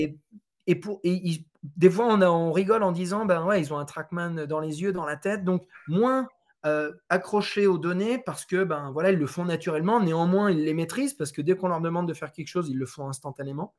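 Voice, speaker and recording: Higher-voiced man explaining, hesitant. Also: normal speed, a little mellow. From France. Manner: hesitant, many fillers and restarts. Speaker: Trackman representative on golf tours. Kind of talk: conversational interview answers.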